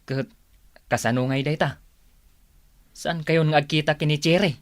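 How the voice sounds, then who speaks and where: Man acting an eager, fairly high-voiced Filipino buddy. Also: fairly even intonation, normal speed, dry recording, clean studio sound, lightly acted. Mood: eager, teasing. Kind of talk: Filipino radio comedy-drama acting.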